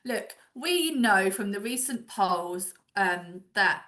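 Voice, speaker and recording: A female talk show guest with a bright, forceful voice at a middling pitch. She speaks conversationally, with a blunt and insistent manner as she gives her opinions. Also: lively intonation, takes it slowly.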